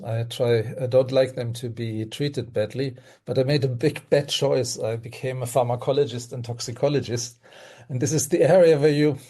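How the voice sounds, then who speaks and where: Older German-accented man, mellow voice. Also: mid-range voice. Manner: earnest, hesitant with fillers, laughs while speaking. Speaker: guest toxicologist. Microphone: video call.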